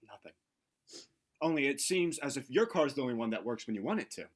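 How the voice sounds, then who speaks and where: A student actor playing a coolly formal, evasive, sinister doctor in a vintage radio mystery. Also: male voice, medium pitch, big pitch swings, fast. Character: smooth, evasive, sinister doctor under suspicion.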